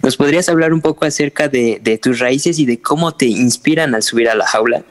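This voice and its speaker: A man's voice: medium pitch, fast. A man, a reporter, asking a fighter a question politely with some hesitation. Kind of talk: press question.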